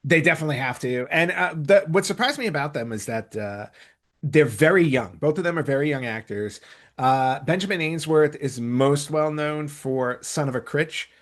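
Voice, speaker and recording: A man's voice: fairly high pitch, animated, normal speed. A man speaking as a podcast host in casual conversation, rambling and often rephrasing himself mid-sentence. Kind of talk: casual conversational podcast talk about entertainment news.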